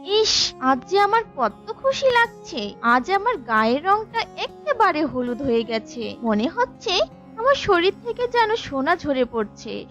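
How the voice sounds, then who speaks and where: Woman voicing a vain, high-pitched cartoon banana. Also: fairly bright tone, muffled recording, heavily acted. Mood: gloating. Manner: boastful, mocking. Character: vain, haughty, taunting ripe yellow banana bunch. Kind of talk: cartoon character dialogue.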